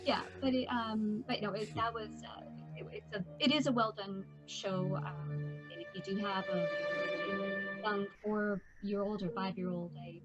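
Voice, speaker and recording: A woman's voice: mid-range voice, steady tone, a little slow, loud music behind the voice. A woman chatting casually as a guest voice actor, her audio cutting in and out a little. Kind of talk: casual interview chat. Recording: dull, glitchy audio link, dropping out.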